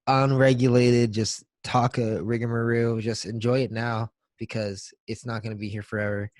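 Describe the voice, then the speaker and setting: A man's voice: mid-range voice, little pitch movement, moderate pace, darkish tone, no room sound. A man co-hosting a podcast in a casual, comedic chat, talking in profane slang. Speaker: podcast co-host.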